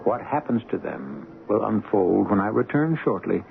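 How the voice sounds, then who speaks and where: A man's voice: lowish voice, very animated, moderate pace, vocal fry. A man hosting a radio drama with theatrical, portentous scripted narration. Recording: muffled old radio broadcast. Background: music.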